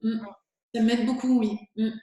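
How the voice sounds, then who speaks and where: A woman's voice: on the high side, little pitch movement, unhurried, dark tone. A French woman working as a speaking coach, answering interview questions with enthusiasm and occasional fillers. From France. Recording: very echoey room.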